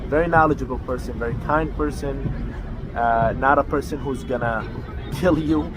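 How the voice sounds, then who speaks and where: A man hosts a travel video in a casual, conversational way, introducing and recommending things. His voice sits at a middle pitch. Loud music and outdoor traffic sound run behind him. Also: normal speed, poor audio.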